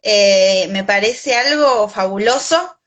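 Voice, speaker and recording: A woman's voice: mid-range voice, slow, a bit bright. A woman from Argentina with an Argentine Spanish accent, a Spanish teacher talking casually and thinking aloud with many fillers. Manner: thoughtful, many fillers and restarts. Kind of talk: casual conversation.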